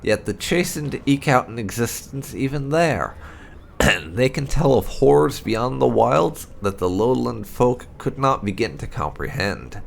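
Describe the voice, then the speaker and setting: A man hosting a gaming channel, reading fantasy game lore aloud in a measured storytelling way. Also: mid-range voice, lively intonation, creaky, a little hiss or hum. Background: background music.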